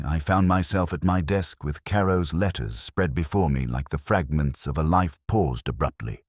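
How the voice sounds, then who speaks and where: A man narrating a scripted first-person detective story in a measured, literary, reflective manner. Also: low-pitched, darkish tone, dry recording, studio quality.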